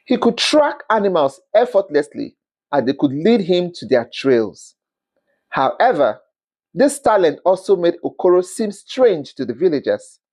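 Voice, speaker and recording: A storyteller narrating a scripted folktale in an expressive, dramatic manner, with the occasional stumble over words. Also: mid-range voice, unhurried.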